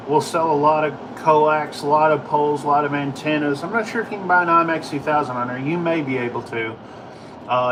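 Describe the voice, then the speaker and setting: An American man chatting casually to livestream viewers in a rambling, halting, informal way. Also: mid-range voice, recorded from a distance, background noise. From United States. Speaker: livestream host, ham and CB radio hobbyist. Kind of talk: casual livestream chat answering viewer questions.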